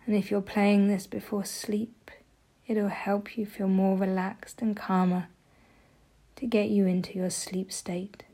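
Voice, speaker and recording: Female meditation guide, mellow and breathy, with an even, barely moving pitch and soothing, gentle instruction. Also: unhurried. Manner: soft, gentle delivery, often breathy.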